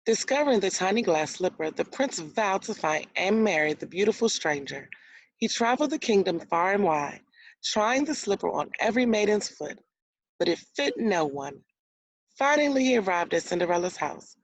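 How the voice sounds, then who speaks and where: A woman's voice: medium pitch, very animated, normal speed, dry recording, poor audio. A woman teacher reading a storybook aloud to young children, explaining words and asking guiding questions as she goes. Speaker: elementary school teacher.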